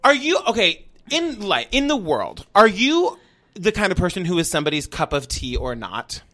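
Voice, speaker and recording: A man's voice: high-pitched, sing-song, moderate pace, sharp tone. A man hosting an interview, gushing and playful with his guest. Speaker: interviewer, host. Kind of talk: casual interview chat.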